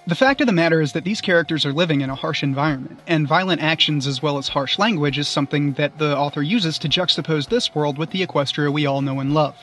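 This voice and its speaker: A man's voice: fairly high pitch, fast talker, hardly any pauses, a bit bright, a little hiss or hum. A man giving scripted review commentary as a guest reviewer.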